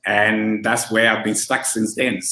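A man in his thirties from Ghana, a relaxed interview guest who talks with many fillers. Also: fairly low pitch, slow. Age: 30s. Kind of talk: casual interview over a video call. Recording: roomy echo.

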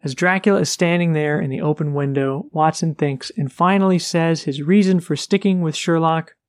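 A man's voice: on the high side, moderate pace, no room sound. A man co-hosting a casual book podcast, retelling a story's plot in plain, easygoing words. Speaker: podcast co-host.

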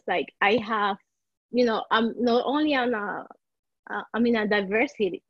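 A woman's voice: fairly high pitch, no echo, highs cut off. A woman from Rico, a coach being interviewed, searching for words and restarting her sentences as she talks. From Puerto Rico. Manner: searching for words, frequent restarts, soft laughs. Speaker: life coach, podcast guest. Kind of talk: conversational interview.

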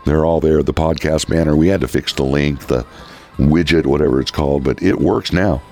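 A male talk radio host with a raspy voice, giving opinionated political commentary. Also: extremely low pitch, darkish tone. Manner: opinionated, reads quotes then rebuts them. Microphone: studio microphone.

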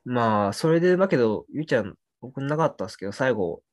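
A man in his twenties with a mid-pitched voice talks casually as a podcast host. He uses relaxed polite speech, like a junior with an older friend. The sound is dry and close, with very little room. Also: fast, no room sound. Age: 20s. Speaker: podcast host looking back on student days. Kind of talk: casual chat between friends.

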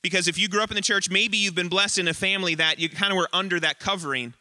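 Bright-voiced man preaching from the pulpit. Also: high-pitched, steady tone, dry recording. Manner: earnest preaching with pauses and emphatic short phrases. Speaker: lead pastor. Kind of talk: sermon. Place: church sanctuary.

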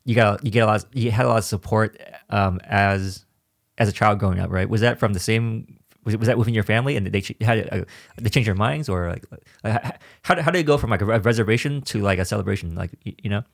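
Stammering low-voiced host from Vietnam. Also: male voice, quick pace, gravelly, no echo, clean studio sound. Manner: stammering, repeats words while thinking. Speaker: podcast host. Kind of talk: conversational interview.

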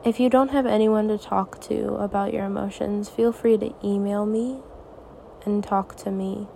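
A teenage girl hosting her own podcast, talking softly and reassuringly in a raspy, tired voice late at night. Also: mid-range voice, fairly even intonation, normal speed, a little hiss or hum. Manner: soft, reassuring, hesitant. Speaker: podcast host. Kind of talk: personal podcast monologue.

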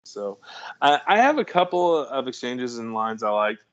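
A man's voice: mid-range voice, big pitch swings, normal speed, fairly bright tone. Rambling man on a lo-fi recording. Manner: rambling, self-interrupting, laughs at his own jokes. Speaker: podcast co-host. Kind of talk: casual chat about a film.

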